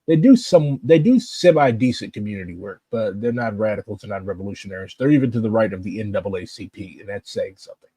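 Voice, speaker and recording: A man's voice: fairly low pitch, expressive, fast, mellow, husky, no room sound. A man with a St. giving blunt, impassioned political commentary. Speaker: political activist.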